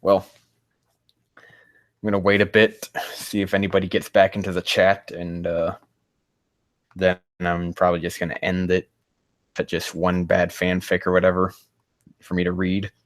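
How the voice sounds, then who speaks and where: A man hosting a casual livestream, rambling and haltingly muttering to himself, with loose, crude language. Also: sits low, completely flat delivery, no room sound. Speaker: livestream host.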